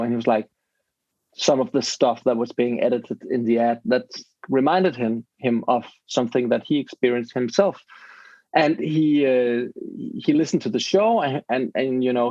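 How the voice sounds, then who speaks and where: A man's voice: medium pitch, a little mellow, no room sound. A man, a podcast guest telling a story casually in English, searching for words and restarting his sentences. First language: Danish. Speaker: podcast host. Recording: dull lo-fi dry sound.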